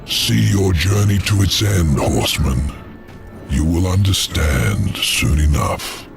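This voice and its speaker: A man voicing an ominous ancient demon lord in a video game, slow and cryptic. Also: low voice, expressive, vocal fry, faint music behind, full character voice. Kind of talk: video game cutscene acting. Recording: game score under the voice.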